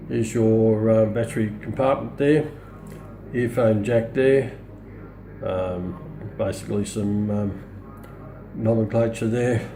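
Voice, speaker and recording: A middle-aged man with an Australian accent giving a casual, unscripted product demonstration, pausing often as he goes. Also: lowish voice, creaky. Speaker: hobbyist radio reviewer. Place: indoors on a hard countertop, small room. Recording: roomy echo, faint background noise.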